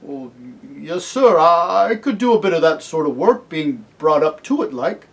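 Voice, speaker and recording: A plain-spoken storybook cabbie, played by a male reader with a Cockney accent softened toward English country speech. He is humble and deferential, pitched up from ordinary talk, hesitating and stumbling over his words. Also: animated, moderate pace, gravelly. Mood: flustered, humble. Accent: Cockney shading into English country. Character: humble, flustered working-class cabbie made king. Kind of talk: storybook character reading.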